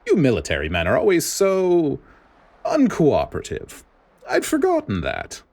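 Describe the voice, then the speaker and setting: A grating, smug male bureaucrat in a story reading. The voice sits well above a deep natural register and swings widely in pitch, with sugary, condescending, theatrical politeness. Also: huge pitch swings, normal speed, creaky, darkish tone, exaggerated voice. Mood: sugary, wheedling. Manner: dramatic, sugary, wheedling condescension. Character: smarmy, grating male government director, fake-sweet and condescending.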